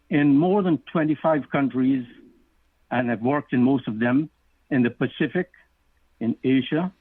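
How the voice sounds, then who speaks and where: A middle-aged man from Guyana, an author answering interview questions in a measured, explanatory way. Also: on the high side, dry recording. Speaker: author and guest. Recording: tinny phone line.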